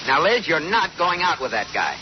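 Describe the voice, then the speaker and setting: Flustered, lively-voiced radio husband. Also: male voice, fairly high pitch, normal speed. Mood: alarmed, exasperated. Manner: stammering, blustering. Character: flustered, exasperated husband whose prank backfired. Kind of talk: 1940s radio comedy acting. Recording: dull, noisy old radio recording.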